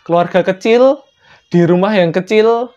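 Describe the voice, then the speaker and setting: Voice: mid-range voice, measured pace, darkish tone. Chatty Javanese-accented Indonesian storyteller, dull recording. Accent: Javanese-influenced Indonesian. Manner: playful, joking, chatty asides. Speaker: storyteller, channel host. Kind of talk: casual improvised storytelling.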